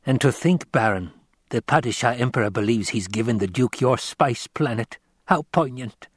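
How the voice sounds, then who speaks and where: A man's voice: mid-range voice, expressive, normal speed, husky, dry recording, clean studio sound. A man voicing a slender, effeminate assassin advisor in an audiobook, sly and mocking, with a sweet, musical tenor and lilting delivery.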